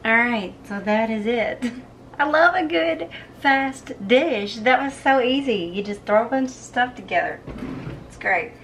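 A woman's voice: on the high side, animated, a little slow, fairly bright tone, a little hiss or hum. A young woman in her twenties chatting casually while cooking in her home kitchen, filmed on a camera set on the counter. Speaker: cooking vlogger.

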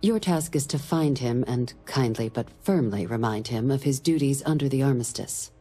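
An actress playing a composed woman diplomatic official in a game, giving a briefing in a measured, formal, dry way. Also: extremely low pitch, dark tone, slightly rough, soft background music. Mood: measured, wry. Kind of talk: scripted game dialogue, mission briefing.

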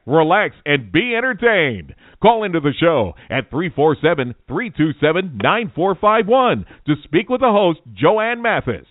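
A man's voice: on the high side, dry recording. A man reading a recorded radio show intro in an upbeat announcer delivery. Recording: dry tinny phone-like sound.

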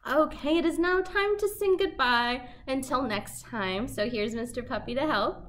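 A young woman hosting a children's storytime, reading a picture book aloud in simple, deliberate phrases for toddlers. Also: high-pitched, a bit bright. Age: young adult. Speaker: children's library storytime host. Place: small indoor set with fabric backdrop.

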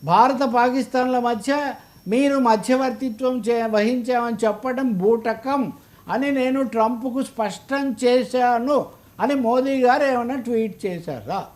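High-pitched, emphatic Telugu man. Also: a little slow, a little mellow. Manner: emphatic, argumentative, rhetorical questioning. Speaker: political analyst and commentator. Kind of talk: political commentary in an interview.

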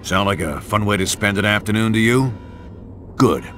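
An actor playing a wry, blunt military intelligence chief in a video game briefing. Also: male voice, lowish voice, moderate pace, a bit bright, a little hiss or hum, close to an everyday voice. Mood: wry, commanding.

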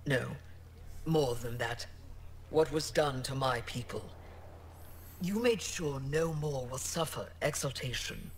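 An actor playing an earnest, dignified alien official in a video game, speaking in a measured, formal way. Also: male voice, mid-range voice, slight background noise.

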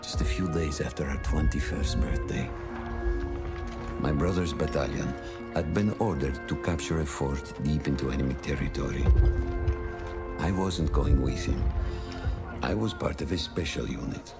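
Very deep-voiced old Italian veteran. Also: male voice, vocal fry, over loud music. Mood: solemn, proud. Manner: measured, reflective, heartfelt. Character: aging Italian war veteran father, solemn, proud, wistful. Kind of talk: dramatic storytelling narration in a video game.

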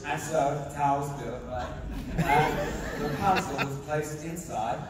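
A man's voice: fairly high pitch, unhurried, heavy background noise, close to an everyday voice. A man playing a theatrical storybook narrator in a comedic live reading. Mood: storytelling. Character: storybook narrator in celebrity impressions. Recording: echoey hall, far off, crowd behind.

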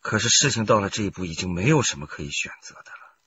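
An actor playing a desperate, scheming husband in a radio crime drama, emotional in delivery. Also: male voice, lowish voice, lively intonation, fast, pushed and bright, highs cut off, a little hiss or hum. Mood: brooding confession. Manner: emotional, pleading, swinging to outbursts. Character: desperate, scheming adult husband confessing to murder.

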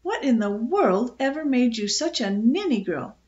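A woman's voice: a little high, big pitch swings, normal speed, darkish tone, muffled recording. A woman voicing a bossy, teasing female parrot in a children's storybook, with a sardonic, scolding manner.

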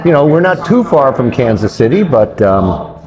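A man's voice: medium pitch, very animated, normal speed, over music. An older man co-hosting a beer show in casual, unscripted chat, rambling and circling back over his phrases. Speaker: co-host of a beer review show. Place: brick-walled pub, indoors at a table.

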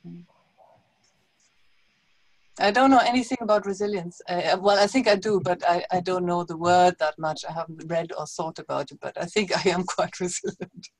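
A woman's voice: on the low side, fairly even intonation, normal speed, no echo, poor audio. A woman introducing herself on a video call in a rambling way, laughing easily. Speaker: participant in a women's circle.

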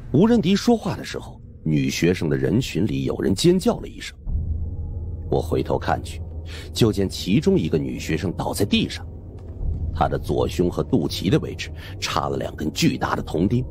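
A man's voice: low-pitched, wildly swooping pitch, normal speed, darkish tone, slight background noise. A man narrating a supernatural suspense audiobook in a dramatic, scripted style.

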